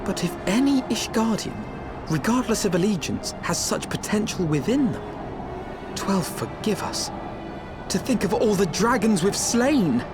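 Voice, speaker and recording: A man's voice: sits high, very animated, unhurried, music in the background. A man playing an earnest teenage boy scholar in a fantasy game, speaking formal, archaic lines very articulately. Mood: shaken, earnest. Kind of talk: dramatic fantasy game acting, archaic speech.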